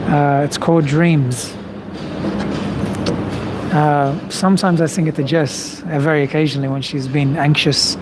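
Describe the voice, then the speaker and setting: A man, a vocal coach, chatting relaxedly on a live stream and answering viewer questions. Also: a little high. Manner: relaxed, chatty, thinking aloud with fillers. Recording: noisy background.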